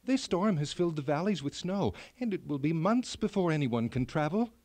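Worried storybook queen, a male narrator acting her, protective, pleading, lively pitch, dry recording. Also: normal speed, no echo. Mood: pleading, doubtful. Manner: anxious, pleading. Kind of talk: children's fairy tale read aloud.

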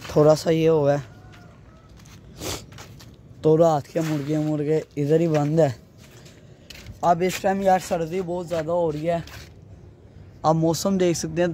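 Hoarse young man, flat delivery. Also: a little high, a little hiss or hum. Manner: chatty, loosely rambling while showing things. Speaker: vlogger. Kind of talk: casual vlog chat. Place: outdoor rooftop. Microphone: handheld phone.

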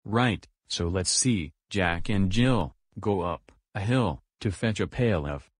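A man's voice: low-pitched, husky, no echo, clean studio sound, exaggerated voice. A man voicing a big friendly purple cartoon dinosaur who guides young viewers with simple, encouraging words. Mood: cheerful, encouraging. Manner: slow, simple, encouraging, goofy hums. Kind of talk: children's show dialogue, guiding young viewers.

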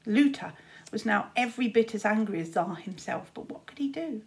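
A woman's voice: medium pitch, lively intonation, moderate pace, vocal fry. A woman, narrating a children's story expressively, like a teacher reading aloud.

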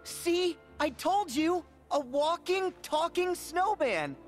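Eager teen boy game hero, high lively voice, sincere and curious. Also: takes it slowly, music in the background, heavily acted. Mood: amazed. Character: earnest, eager, friendly teenage boy hero. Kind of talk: video game cutscene acting.

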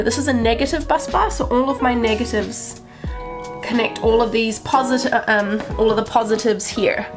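Woman, 30s, congested, self-taught DIY vlogger, close handheld camera, guitar music bed. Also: a little high. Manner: chatty, self-taught, excitable. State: congested from flu. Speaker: van-build vlogger. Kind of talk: casual DIY explainer. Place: inside a van under construction. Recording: guitar music playing underneath.

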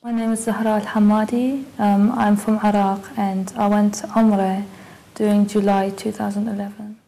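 A young woman being interviewed in a dark studio, recalling her trip in a relaxed, chatty way with many fillers. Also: mid-range voice, moderate pace, vocal fry, poor audio. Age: young adult. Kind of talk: casual recollection.

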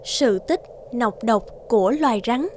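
A woman's voice: on the high side, a little slow, a little hiss or hum. A woman narrator reading scripted fairy tales for a cartoon.

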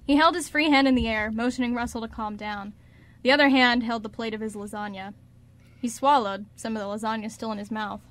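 A woman's voice: on the high side, fairly even intonation, a little fast, a bit bright. A woman reading scripted narration for a radio drama.

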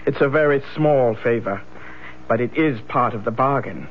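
An actor playing a sinister, soothing bargain-maker in a 1970s radio drama, deliberate and commanding. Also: male voice, mid-range voice, close to an everyday voice. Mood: firm, warning. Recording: dull lo-fi old radio broadcast. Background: faint music.

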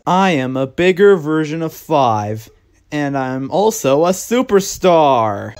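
A woman reading short deadpan comic one-liners for a cartoon meme. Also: mid-range voice. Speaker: meme narrator. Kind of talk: comic character roll call.

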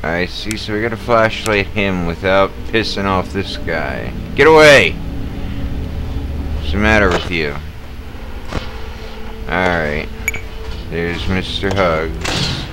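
A man giving casual gameplay commentary, muttering and swearing with sudden yells at the game. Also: deep voice, slow, long pauses, background noise. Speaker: gaming video creator. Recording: loud game music behind the voice.

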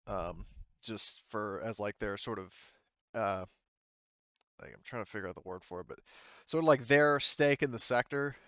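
A man acts as game master in a casual, hesitant way, feeling for the right words. His voice is smooth and sits at a middle pitch. The recording is dry and a little muffled. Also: moderate pace, fairly bright tone, no echo. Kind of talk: casual tabletop roleplaying narration and chat. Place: voice chat in an online game session.

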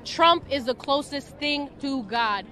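A woman's voice: high-pitched, expressive, a little slow, bright, forceful tone. An American woman answering a street interview outdoors, emphatic and insistent, repeating herself for weight. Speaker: rally attendee being interviewed. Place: outdoors in a street by a rally queue.

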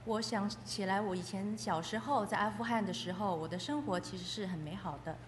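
A woman interprets a testimony phrase by phrase at a fast pace. Her pitch is medium, and her voice carries the reverb of a hall, with faint noise behind it. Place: echoey hall.

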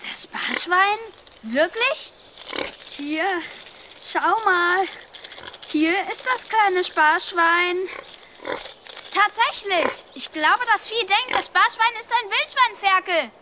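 A woman's voice: extremely high pitch, slow pace, long pauses, pushed and bright, a little hiss or hum, heavily acted. A woman voicing a brave, eager young child adventurer in a children's audio drama, earnest and exclaiming. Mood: indignant, nervous.

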